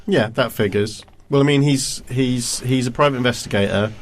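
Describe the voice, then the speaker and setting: A young man co-hosting a casual podcast chat, teasing his co-host and pausing often on "uh". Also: mid-range voice. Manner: teasing, hesitant with fillers.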